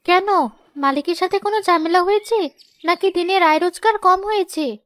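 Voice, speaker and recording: A woman's voice: extremely high pitch, normal speed, a bit bright. A woman voicing a worried, poor young wife and mother in a cartoon moral tale, with a fretful, pleading manner. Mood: concerned.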